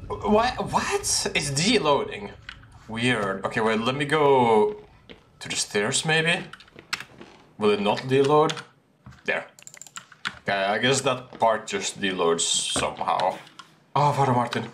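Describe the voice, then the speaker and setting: A man's voice: mid-range voice, lively intonation, slow pace, pauses often, fairly bright tone, slight background noise. A young man giving casual, reactive commentary on a horror game, exclaiming and repeating short phrases, recorded in a room at home. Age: young adult. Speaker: gaming video creator playing a horror game. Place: small room at home, indoors.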